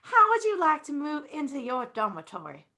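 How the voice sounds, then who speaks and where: A woman voicing a dainty, indulgent reverend's wife in a comedic fan fiction reading, gentle and motherly. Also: high voice, wildly swooping pitch, normal speed, heavily acted.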